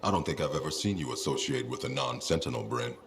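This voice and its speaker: Extremely deep, stern male commander. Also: fast, gravelly, a bit bright, exaggerated voice. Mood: suspicious. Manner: stiff, condescending. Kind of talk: video game dialogue acting.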